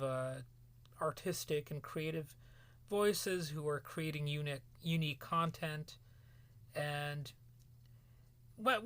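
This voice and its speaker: A man talking solo in a rambling podcast commentary, halting and restarting often, his voice cracking as he loses it. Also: fairly high pitch, pushed and bright, clear, resonant tone, no echo. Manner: halting, many restarts and fillers. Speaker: podcast host.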